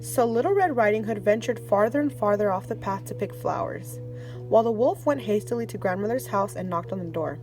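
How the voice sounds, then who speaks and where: A woman reading a children's fairy tale aloud, her voice rising and falling with plenty of expression. Her pitch sits in a middle range. Soft music plays faintly behind her. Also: a little fast, rough recording. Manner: expressive read-aloud, warm sign-off to kids. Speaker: storyteller.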